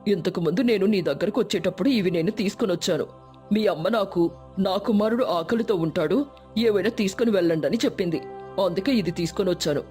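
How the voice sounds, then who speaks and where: A woman voicing a gentle, kindly old man in a moral storybook tale. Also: medium pitch, fast talker, soft background music, natural voice. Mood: tender.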